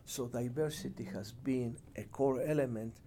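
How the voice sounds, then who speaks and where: A middle-aged man from Ecuador with a Spanish accent, giving reflective interview answers in a measured, halting, earnest way. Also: medium pitch, dark tone, slight background noise. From Cuenca, Ecuador. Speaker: international development professional, interviewee.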